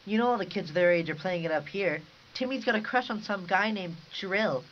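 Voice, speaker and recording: A man's voice: high-pitched, fairly quick, fairly bright tone. A man playing a chatty, breezy housewife friend with a gossipy manner, in 1950s radio drama style. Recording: dull lo-fi radio recording, faint hiss.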